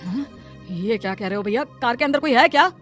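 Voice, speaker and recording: Cartoon poor laborer husband, worried and caring, fairly high voice, a woman plays him. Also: lively intonation, brisk, a bit bright, soft background music. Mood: urgent. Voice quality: earnest, pleading, protective. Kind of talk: Hindi cartoon voice acting.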